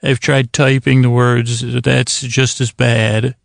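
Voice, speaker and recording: Creaky-voiced man rambling through a bedtime podcast. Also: mid-range voice, flat delivery, no room sound, clean studio sound. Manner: meandering, halting, stammering and repeating himself; self-deprecating. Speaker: podcast host. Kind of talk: rambling bedtime podcast monologue, casual chat.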